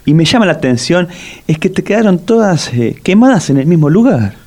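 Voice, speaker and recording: Man acting a lively, sardonic Argentine teaser. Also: high-pitched, a little fast, heavily acted. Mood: needling. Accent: Argentine Rioplatense. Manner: sardonic, needling, colloquial. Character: teasing, skeptical male companion of the cook. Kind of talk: comic radio drama acting.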